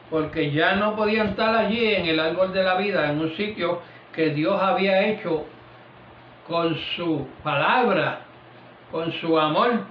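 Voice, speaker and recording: A man's voice: fairly high pitch, slight background noise. A man preaching an informal family prayer sermon, repeating "vive Jesús" and "aleluya" between phrases and dropping his voice on them. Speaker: lay preacher. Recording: echoey, dull, lo-fi room recording.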